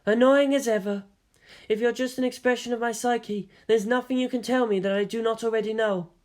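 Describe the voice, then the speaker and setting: A woman's voice: a little high, fairly even intonation, fairly quick, darkish tone, pitched above the performer's own voice, close to an everyday voice. A woman voicing a cold, fierce young female commander who talks in a curt, impatient, commanding way.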